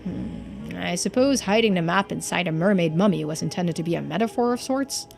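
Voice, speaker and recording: A woman voicing a composed, formal investigator in a mystery game, speaking in a measured, precise way. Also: fairly low pitch, animated, creaky, darkish tone, soft background music, voice pushed down. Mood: thoughtful.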